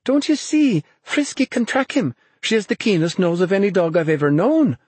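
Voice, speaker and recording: An excitable young woman who drives sled dogs, acted out by a man, calls out in a high, lifted voice. She is proud and openly fond of her dog, in the style of an audiobook heroine. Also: normal speed, husky, dry recording, heavily acted. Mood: eager. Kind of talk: audiobook character voice.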